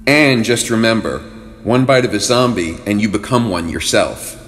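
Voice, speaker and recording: A man's voice: lowish voice, moderate pace, fairly bright tone, soft background music, close to an everyday voice. A man playing a sardonic, slightly pompous headless ghost commander from the Revolutionary War in a comic audio drama. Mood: matter-of-fact.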